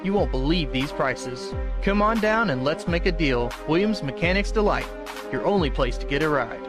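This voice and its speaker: A man's voice: animated, moderate pace, over music, close to an everyday voice. A man playing a pushy car-ad announcer. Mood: salesy. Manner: salesy pitch.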